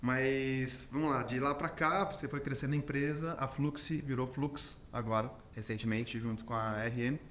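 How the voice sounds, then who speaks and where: A man's voice: medium pitch, fairly even intonation, normal speed, few pauses, fairly bright tone, a little hiss or hum. A Brazilian man hosting a podcast interview who lays out his views and checks in with his guest as he goes. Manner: explanatory, ends points with "entendeu?". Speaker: podcast host.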